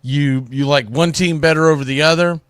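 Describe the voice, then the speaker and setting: A man's voice: a little high, steady tone, normal speed, a bit bright, smooth, no echo, clean studio sound. A man hosting a solo sports talk show, giving commentary in an informal, opinionated way.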